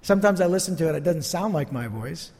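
A man's voice: a little high, animated, fast, a little mellow. A male pastor teaching a sermon in an earnest, emphatic preacher's manner. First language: not Spanish. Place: church sanctuary. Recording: church hall reverb.

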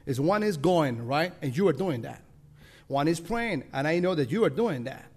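A man from El Salvador with a Salvadoran Spanish accent, speaking halting second-language English as a missionary leader addressing a congregation. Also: a little high, lively intonation, a little mellow, husky. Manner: halting non-native English, self-deprecating, laughing often.

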